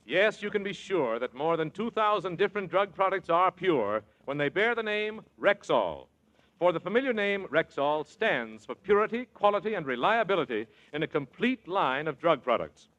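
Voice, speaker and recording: A man's voice: high-pitched, big pitch swings, normal speed, fairly bright tone, lo-fi sound. An American man announcing on a 1940s radio show in a scripted, old-time broadcast style.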